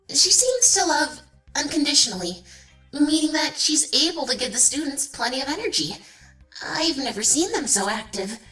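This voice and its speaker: A woman's voice: high voice, bright, forceful tone, far from the mic, heavily acted. A woman playing a nervous, deferential changeling schoolteacher in an audio drama. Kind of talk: fan audio drama acting. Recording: echoey room, off mic, music underneath.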